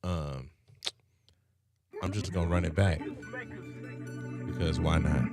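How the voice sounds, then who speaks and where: A man hosting a casual livestream, talking in a relaxed, rambling way with lots of fillers and repeated phrases. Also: low voice, slow pace. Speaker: show host. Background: loud hip hop music.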